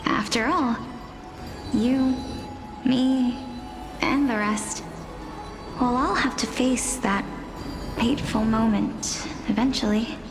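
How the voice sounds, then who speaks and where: A woman's voice: fairly high pitch, slow, long pauses. An actress playing a young woman scholar in a game, telling a tale and teasing her friend with giggles. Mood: solemn. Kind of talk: game voice acting. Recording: game dialogue over music.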